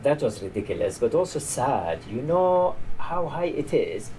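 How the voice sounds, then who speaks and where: A man's voice: medium pitch, very animated, moderate pace, mellow, close to an everyday voice. A man reading the part of a tired old border guard in a story, chatty, dismissive and grimly amused. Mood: mocking, emphatic. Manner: weary, chatty, discouraging. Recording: noisy live reading in a bar.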